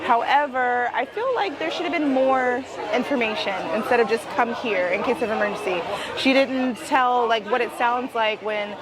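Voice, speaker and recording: A woman's voice: fairly high pitch, normal speed. A woman talking casually to camera as a vlog host, chatty and slangy as she explains things. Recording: background music, busy crowd outdoors.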